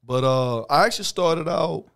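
A raspy, gravelly man in his thirties speaks into a studio mic in a podcast studio. His voice is resonant and commanding. He talks in a slow, measured way, with many pauses and fillers. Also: fairly high pitch, fairly bright tone. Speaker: rapper guest. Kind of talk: casual interview chat. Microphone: headphones and studio mic on boom.

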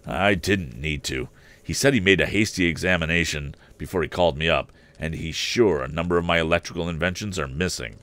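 A man's voice: low voice, a little fast, fairly bright tone, no echo. A man voicing an agitated, impatient elderly inventor and father in a storybook reading. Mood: worried, agitated. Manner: agitated, insistent.

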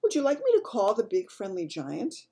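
A woman voicing a calm, polite little girl in a storybook, earnest and reassuring. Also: mid-range voice, moderate pace, pitched above the performer's own voice. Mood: calm, eager. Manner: respectful, simple, reassuring.

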